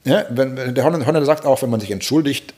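A man in his forties from Germany, a pastor, thoughtfully telling personal stories, halting with many restarts and repeated words. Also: medium pitch, darkish tone. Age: 40s. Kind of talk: reflective personal storytelling.

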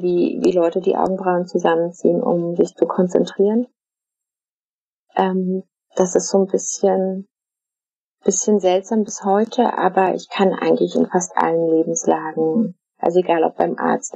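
A middle-aged woman from Germany, answering interview questions in a casual, thoughtful way, with many fillers and pauses. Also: lowish voice, flat delivery, gravelly, dark tone, breathy edge, dry recording. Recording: dull, dry lo-fi recording.

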